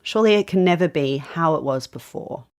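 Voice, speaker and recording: Woman hosting a documentary, low gravelly voice, calm curious questions. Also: fairly quick. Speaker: host. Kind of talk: documentary interview and narration.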